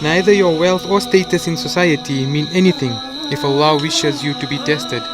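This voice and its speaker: A man's voice: fairly high pitch, moderate pace, over music, lightly acted. A man playing a rattled young man in hiding in an audio drama. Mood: grave narration.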